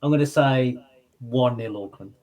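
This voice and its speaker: A man's voice: medium pitch, normal speed, a little mellow. A man co-hosting a football podcast, rambling casually and poking fun at himself. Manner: rambling, self-deprecating storyteller. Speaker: podcast co-host. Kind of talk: casual chat.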